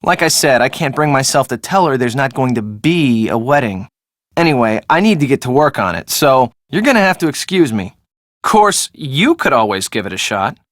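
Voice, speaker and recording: A sarcastic young woman in a video game, casual and slangy. Her pitch swings freely as she makes her wry remarks, and the sound is close and dry. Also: very animated, moderate pace, no echo. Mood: defensive, then brisk. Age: young adult. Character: breezy, sarcastic young woman, evasive friend of the groom. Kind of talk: video game dialogue acting.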